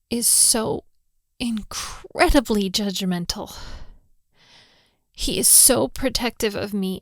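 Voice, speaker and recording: A woman's voice: medium pitch, dry recording, clean studio sound. A woman playing a hot-tempered, lovestruck young daughter of a mafia boss in a girlfriend roleplay audio drama, exasperated and scolding. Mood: tender, frustrated. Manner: scolding, exasperated, with sighs and breathy asides.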